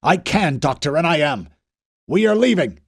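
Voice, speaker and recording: A man voicing a furious, barking male army captain who shouts his orders. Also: on the high side, sing-song, normal speed, breathy edge, voice pushed up.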